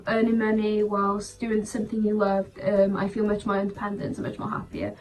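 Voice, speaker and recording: A woman whose first language is Welsh, giving a careful, slightly halting prepared testimonial as a trekking yard apprentice. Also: mid-range voice, distant mic, soft background music, low-quality recording.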